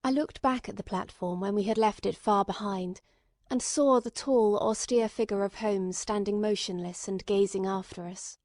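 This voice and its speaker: A woman's voice: mid-range voice, fairly even intonation, normal speed, darkish tone, no room sound. A woman reading scripted storybook narration as an audiobook narrator.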